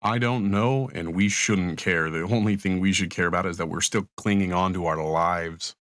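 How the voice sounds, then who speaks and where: Sardonic young male hero, acted by a man: low register, pessimistic, dry audio-story recording. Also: normal speed, fairly bright tone, no echo. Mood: sarcastic. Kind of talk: audio story character acting.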